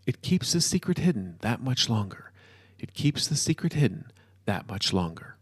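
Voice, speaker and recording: A man's voice: mid-range voice, sing-song, moderate pace, husky, no echo. A man reading a story, voicing an exasperated, judgmental modern-day wizard given to emphatic ranting. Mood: self-soothing mantra.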